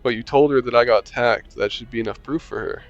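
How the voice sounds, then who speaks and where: A young man reads a visual novel aloud in a relaxed, joking way, humming and muttering between lines. His voice is mid-pitched and the recording sounds a little dull. Soft game music sits in the background. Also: quick pace. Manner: casual, joking asides, hums to himself. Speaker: gaming channel host.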